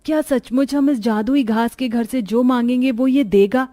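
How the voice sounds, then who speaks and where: A woman's voice: fairly high pitch, fairly even intonation, moderate pace, mellow, natural voice. A woman voicing a caring, protective orphan girl, an eldest sister in a cartoon story. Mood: amazed, eager.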